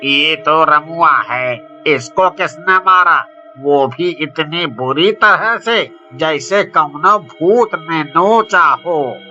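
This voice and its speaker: A man playing a simple, folksy cartoon villager with a rustic, drawn-out way of talking, in a muffled dub. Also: fairly high pitch, bright, forceful tone, soft background music, heavily acted. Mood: shocked.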